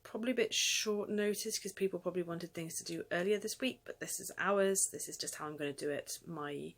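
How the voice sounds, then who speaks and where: A woman's voice: fairly low pitch, fairly quick. A young British woman talking in a casual vlog style, rambling a little and hesitating as she goes. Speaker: homeschooling mother and vlogger.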